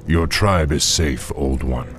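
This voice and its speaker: An actor playing a young, noble orc war chief in a fantasy game, with a gravelly voice and a firm, formal manner. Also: male voice, a bass voice, slow pace, fairly bright tone, slight background noise, heavily acted. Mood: earnest. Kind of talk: fantasy video game acting.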